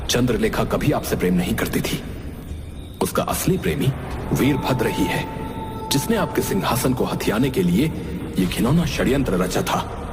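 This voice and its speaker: A man's voice: medium pitch, sing-song, moderate pace, natural voice. A man playing a shrewd, solemn royal minister in a storybook drama, speaking firmly and formally. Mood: grave, accusing. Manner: firm, formal, challenging. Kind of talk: dramatized folk-tale audio. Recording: echoing hall reverb, music underneath.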